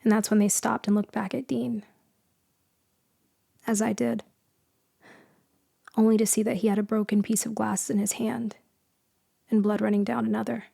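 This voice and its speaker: A woman playing a shaken, grieving shipwreck survivor in an intimate, halting ASMR storytelling style, with a soft voice that slips into whispers. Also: medium pitch, flat delivery, creaky, husky, no echo, clean studio sound. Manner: halting, hushed, dramatic pauses.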